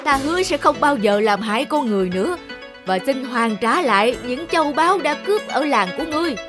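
Big bullying demon king, loud, blustering cartoon villain over prominent music. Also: very high-pitched, animated, brisk. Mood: frightened pleading. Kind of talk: animated folk tale villain acting.

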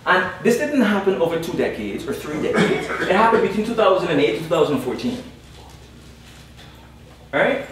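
A man's voice: sits high, sing-song, normal speed, long pauses, a bit bright. A young man from Guyana giving an academic panel presentation, emphatic and repeating key points with frequent "all right?" check-ins. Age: young adult. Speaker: panel speaker. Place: lecture room at a panel event. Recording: very echoey room audio from far off with faint noise.

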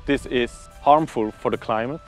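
Man in his 30s, project manager, calm factual interview delivery, soft music bed. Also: medium pitch, moderate pace. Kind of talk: documentary interview.

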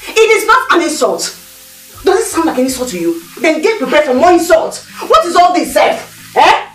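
List: high lively voice, woman playing impatient girlfriend, scolding, mocking, soft music behind. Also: moderate pace, rough recording. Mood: indignant. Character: frustrated young woman tired of waiting to marry. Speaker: actor. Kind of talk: Nigerian film drama acting.

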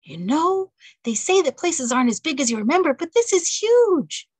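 An anxious, eager young wife, as read aloud by a woman, her voice lifting high and quick. The sound is close and dry with no room around it. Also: very animated, darkish tone, no room sound. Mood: excited.

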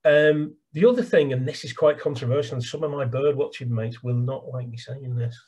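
Husky English man on a video call. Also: mid-range voice, a little fast, a little mellow. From England. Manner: enthusiastic, gesturing, repeats words. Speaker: birdwatching guide. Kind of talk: casual video call chat. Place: small room at home. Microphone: webcam on a video call. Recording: laptop video call audio.

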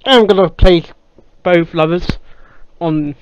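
Man, reaction host, casual commentary, slightly high voice, halting, dull sound. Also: a little hiss or hum. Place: small cluttered room indoors.

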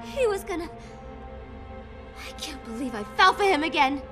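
A woman's voice: high voice, animated, moderate pace, over music. An actress playing a brash, girlish, volatile young female jester villain in a video game. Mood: furious, hurt. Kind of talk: video game cutscene acting.

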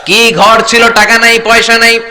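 A man's voice: very high-pitched, flat delivery, moderate pace, crowd noise. A man preaching an Islamic sermon, telling stories emphatically and declaiming as he prompts listeners to respond. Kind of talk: fervent sermon storytelling with call-and-response to a crowd.

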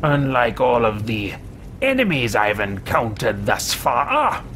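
A man's voice: medium pitch, big pitch swings, slow pace, noisy background, pitched below the performer's own voice. A man in his thirties voicing a haughty, greedy male mage adventurer with pompous, theatrical disdain in a video game roleplay. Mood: scornful. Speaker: let's-play host roleplaying a game character. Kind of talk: theatrical in-character game commentary.

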